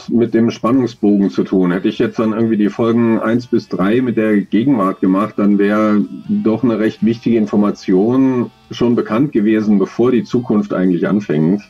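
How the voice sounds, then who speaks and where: A man's voice: medium pitch, mellow, slightly rough, lo-fi sound. A man in his forties, an audio drama author, answering interview questions on a video call in a thoughtful, rambling, self-deprecating way.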